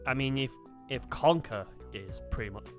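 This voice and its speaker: A man's voice: medium pitch, lively intonation, normal speed, faint music behind. A man co-hosting a casual chat about video games and films, rambling and repeating phrases as he goes. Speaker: co-host of a video game discussion show.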